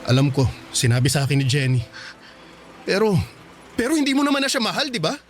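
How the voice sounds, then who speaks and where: Radio drama young Filipino suitor: high-pitched, lovesick, insistent, music behind. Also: male voice, very animated, fairly quick. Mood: insistent, pressing. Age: young adult. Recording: loud music under the voice.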